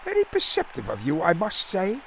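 A man's voice: high voice, huge pitch swings, brisk, vocal fry, a little hiss or hum, voice pushed up, heavily acted. A man voicing a naive, delighted young upper-crust Englishman, speaking with a lofty, clipped upper-class British accent and very polite, wordy phrasing. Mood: chirpy, courteous.

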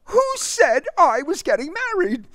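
A woman's voice: sits high, big pitch swings, a little slow, fairly bright tone, no room sound, voice pushed up, heavily acted. A man voicing a furious young woman who cries out, close to tears. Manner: crying out angrily.

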